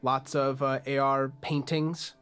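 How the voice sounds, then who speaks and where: A man's voice: mid-range voice, flat delivery, takes it slowly, fairly bright tone, clean tone. A man acting as game master, narrating scenes to players of a tabletop game. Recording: music behind the voice.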